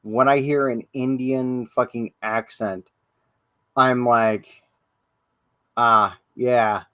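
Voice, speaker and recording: A man's voice: medium pitch, steady tone, drawn-out words, bright, forceful tone, smooth, no room sound. A man hosting a casual livestream podcast, rambling and profane, with many ums and restarts. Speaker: podcast host. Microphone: livestream podcast mic.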